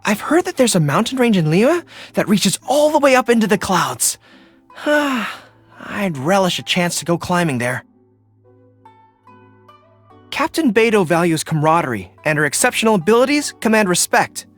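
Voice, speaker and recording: A man voicing an earnest, dutiful young dog-eared general in a video game, with crisp military diction. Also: sits high, very animated, normal speed, pauses often, soft background music. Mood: admiring. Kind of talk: video game character voice acting.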